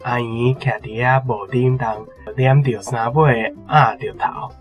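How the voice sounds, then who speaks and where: A man's voice: medium pitch, steady tone, measured pace, faint music behind. A young man, a student contestant hesitantly groping for words. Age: young adult.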